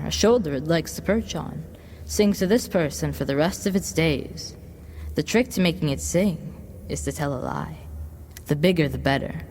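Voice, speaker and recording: A woman playing a smooth-tongued devil boy in an audio drama, coaxing like a salesman and offering tempting gifts. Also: lowish voice, a little mellow, lightly acted. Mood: beguiling. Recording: long reverb, background noise.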